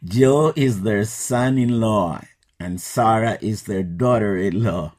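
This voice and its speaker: A man, teaching an English lesson in a slow, patient scripted style. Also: lowish voice, darkish tone. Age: 60s.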